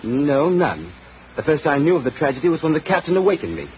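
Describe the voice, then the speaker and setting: An actor playing a polite, uneasy young private secretary in a 1940s radio drama. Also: male voice, a little high, very animated. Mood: cooperative. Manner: formal, guarded, quick. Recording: muffled, noisy old radio recording.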